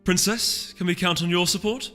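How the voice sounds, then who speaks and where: A man voicing a fiery, combative unicorn duchess in a storybook reading, forceful, impatient and scornful. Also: high-pitched, fairly even intonation, moderate pace, soft background music, pitched above the performer's own voice. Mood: urgent, pleading. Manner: forceful, argumentative.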